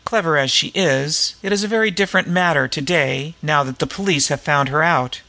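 A man voicing a suave, courteous gentleman adventurer in an audiobook, speaking formally and imperturbably. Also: fairly high pitch, fairly quick, vocal fry. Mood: earnest, formal.

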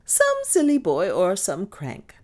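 A woman voicing a brisk, no-nonsense older mistress of the house, crisp, decisive and ironic, in an audiobook. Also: sits low, big pitch swings, exaggerated voice. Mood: imperious, sharp. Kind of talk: audiobook character dialogue.

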